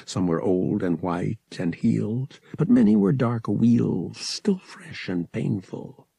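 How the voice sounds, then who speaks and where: Husky male audiobook narrator. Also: lowish voice, big pitch swings, takes it slowly, dark tone, no echo. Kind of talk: dramatic scripted narration.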